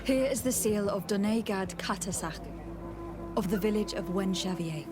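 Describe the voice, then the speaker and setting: A woman's voice: mid-range voice, normal speed, over music, close to an everyday voice. An actress playing a courteous, composed young noblewoman diplomat in a fantasy video game, polite and formal. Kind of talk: video game dialogue acting.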